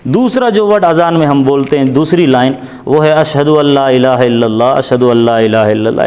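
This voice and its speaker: Man, slightly high pitch, deliberate explanations, emphatic repetition, cleric in an interview. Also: a little mellow. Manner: deliberate, repeats phrases for emphasis.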